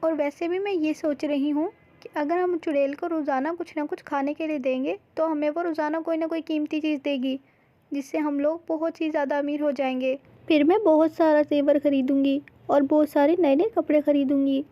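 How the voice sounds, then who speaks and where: A woman's voice: sits high, steady tone, normal speed, no echo, pitched above the performer's own voice, natural voice. A woman voicing a greedy, scheming village wife, eager and coaxing, in a cartoon storybook tale. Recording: slightly dull, lo-fi sound.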